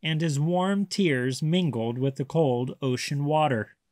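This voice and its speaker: A man reading a children's picture book aloud as a storyteller, with expressive, deliberate narration. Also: a little high, no room sound, clean studio sound.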